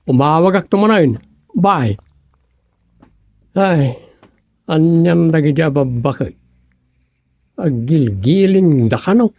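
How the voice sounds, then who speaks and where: An actor playing a curious, easygoing village official in a comedy radio drama, full of questions. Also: male voice, on the high side, lively intonation, slow pace, long pauses, a little mellow, exaggerated voice. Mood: amused.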